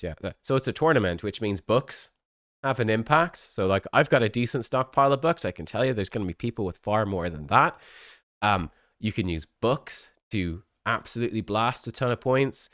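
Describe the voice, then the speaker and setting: A man's voice: on the low side, a bit bright, dry recording, studio quality. A man with a cold, sniffly and coughing, chatting casually as a game content creator, with many fillers and restarts.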